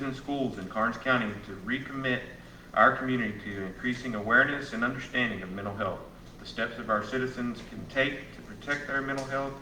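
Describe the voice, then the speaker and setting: Texan man, county judge, plain procedural delivery, heard from afar in an echoey room with steady background hiss. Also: medium pitch. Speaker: county judge chairing the meeting. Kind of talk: chairing a public meeting. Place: county courtroom. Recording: echoey room, distant mic, steady noise.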